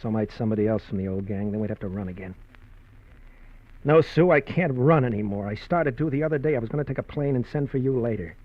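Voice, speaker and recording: A man's voice: medium pitch, animated, quick pace, lightly acted. An actor playing an anxious former crook hiding his past from his wife, in hesitant, earnest 1950s radio drama style. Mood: troubled. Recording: muffled vintage radio broadcast.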